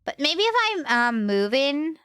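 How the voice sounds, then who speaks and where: A woman's voice: sits high, very animated, measured pace, fairly bright tone. A woman livestreamer with a slight cold, chatting casually in a hesitant, rambling way.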